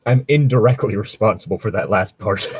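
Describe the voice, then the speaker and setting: A man's voice: lowish voice, expressive, normal speed, slightly rough, no room sound. A man casually bantering and reading online stories aloud, with a wry, joking, sometimes profane manner. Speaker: co-host reading online tabletop gaming horror stories.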